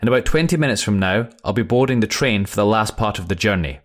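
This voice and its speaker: Audio drama: man to play breezy young airman writing home, lowish pitch, plain and cheerful delivery. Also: expressive, quick pace. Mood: cheerful, reassuring. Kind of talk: read-aloud wartime letter.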